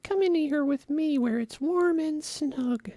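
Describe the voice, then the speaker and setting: A man voicing a small, kindly female storybook mouse in a thin, gentle falsetto. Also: slow pace, no echo, exaggerated voice.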